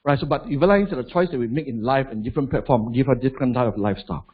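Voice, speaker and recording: Husky Malaysian man addressing a crowd. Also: medium pitch, racing, phone-quality sound. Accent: Malaysian English. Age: 40s. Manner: chatty, asks the crowd rhetorical questions, adds "lah". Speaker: motivational business speaker. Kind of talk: live seminar talk to an audience.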